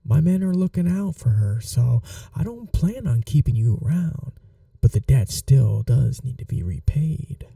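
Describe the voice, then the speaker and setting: A man acts out a calm mafia boss with a very dark, mellow voice and a gravelly creak. He speaks softly and slowly in an ASMR roleplay style. His manner is protective, reassuring and teasingly flirty. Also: medium pitch, dry recording, distant mic, heavily acted. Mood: cool, matter-of-fact. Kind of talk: soft-spoken ASMR roleplay acting.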